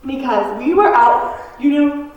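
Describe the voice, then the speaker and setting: A woman's voice: high-pitched, expressive, lo-fi sound. A woman voicing a breathless, panicked male servant bringing bad news, talking in a rush with nervous laughs, recorded in an echoey room from a distance. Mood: frantic. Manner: frantic, rushed, with nervous laughs.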